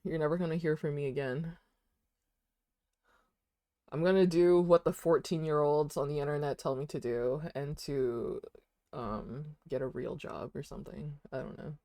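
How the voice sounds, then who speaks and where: A woman's voice: deep voice, normal speed, mellow, no echo, clean studio sound. A woman in her twenties chatting casually while drawing on a stream, rambling, laughing often and saying "dude" and "like". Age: 20s.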